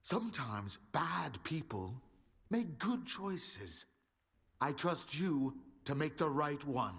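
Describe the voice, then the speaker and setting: A man playing a self-assured faction leader in a game, speaking in a measured, authoritative way. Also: a little high, big pitch swings, a bit bright, exaggerated voice. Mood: measured, persuasive. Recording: clearly noisy background, clicks.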